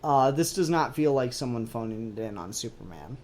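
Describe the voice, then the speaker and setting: Man with a very lively, swooping pitch. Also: fairly quick. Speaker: comic book review podcast co-host. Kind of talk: casual fan discussion.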